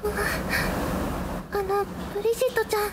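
Really high girly voice, woman playing shy earnest high school club captain, hesitant, radio drama, noise behind. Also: little pitch movement, a little fast. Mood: anxious. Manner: hesitant, pleading. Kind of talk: anime-style radio drama acting. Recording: noisy background.

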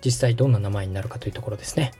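Mellow male instructor over music. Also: lowish voice, very fast. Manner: polite, explanatory, instructing. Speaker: podcast host and radio director, giving instructions. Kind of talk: step-by-step app tutorial over background music.

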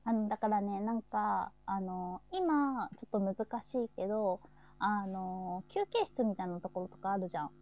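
A woman with a mellow, relaxed voice hosts an informal chat. She talks casually and chattily, often echoing agreement as she goes. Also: medium pitch, moderate pace. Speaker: host of a live chat show.

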